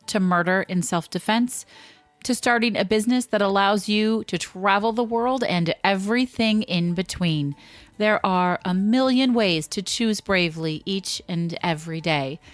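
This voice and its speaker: A woman podcast host reading a scripted promo in a polished, deliberate way. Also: mid-range voice, dry recording, faint music behind.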